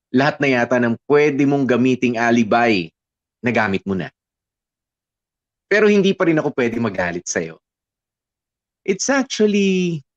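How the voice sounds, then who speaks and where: A man's voice: mid-range voice, highs cut off. A Filipino actor narrating as a wistful young man confessing old courtship frustrations in a radio drama. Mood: tender, reflective. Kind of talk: dramatized first-person story narration.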